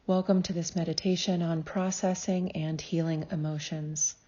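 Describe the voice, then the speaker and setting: A woman leading a scripted guided meditation as a psychologist, speaking in a soothing, measured, gently instructive manner. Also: lowish voice, level tone, muffled recording.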